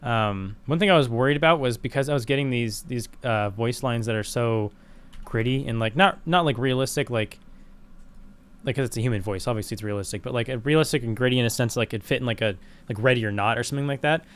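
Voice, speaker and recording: Excited young man showing his game. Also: medium pitch, quick pace, clear, resonant tone. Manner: excited, casual slangy chat with many fillers. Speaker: game developer. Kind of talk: casual screen-recorded walkthrough.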